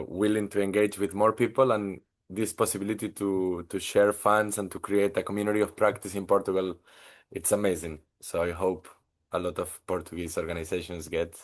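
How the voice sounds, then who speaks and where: A man with a fairly low voice introduces his cooperative on a call. He sounds enthusiastic but a little halting. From Spain. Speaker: cooperative representative. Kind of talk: online webinar introduction.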